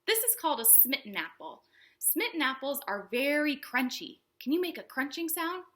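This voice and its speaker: A woman hosting a storytime for young children, teaching in simple words with an animated, sing-song manner and questions to her small viewers. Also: high-pitched, normal speed. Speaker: children's librarian, storytime host.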